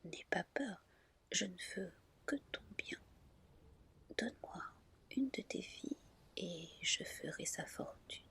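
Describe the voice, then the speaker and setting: A woman's voice: on the low side, expressive, slow, creaky, long pauses, pushed and bright, full character voice. A woman voicing a talking fairy dragon head that coaxes and reassures, whispered as in an ASMR storybook reading. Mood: coaxing, reassuring. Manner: coaxing, reassuring.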